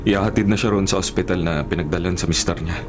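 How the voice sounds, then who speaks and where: An actor voices a dutiful young bodyguard in a Filipino radio drama. His Filipino-accented delivery is formal, earnest and restrained. Music is clearly heard underneath. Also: low voice, fast, natural voice.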